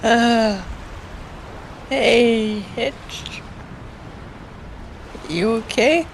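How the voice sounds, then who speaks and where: A woman voicing a casual, low-key young female pegasus in a cartoon read-along. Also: very slow, slight background noise, rough recording. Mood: concerned, intrigued.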